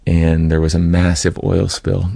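Very deep, gravelly American man. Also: little pitch movement, a little slow, mellow, dry recording. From California, United States. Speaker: interview guest, activist. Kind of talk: reflective personal account in an interview.

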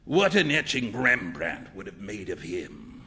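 A man's voice: lowish voice, big pitch swings, a little slow, pushed and bright, breathy edge, voice pushed down, heavily acted. A man voicing a flamboyant, opinionated painter in a storybook, with theatrical, emphatic and pompous delivery. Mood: exclaiming, delighted. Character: flamboyant male painter, grandly opinionated, excitable and teasing. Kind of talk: acted storybook dialogue.

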